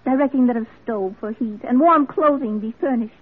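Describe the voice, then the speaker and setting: Husky, earnest woman crusader, old radio. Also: on the high side, fairly quick. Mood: defiant, urgent. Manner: formal, insistent, impassioned. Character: earnest, unyielding woman reformer pleading for imprisoned women. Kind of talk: classic radio drama acting. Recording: muffled old radio drama recording.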